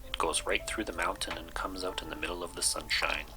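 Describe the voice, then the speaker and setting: A man voicing a lonely, courteous old gentleman from a storybook, gently plaintive and easily hurt. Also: deep voice, steady tone, a little fast, over music, lightly acted, rough recording. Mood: polite, apologetic.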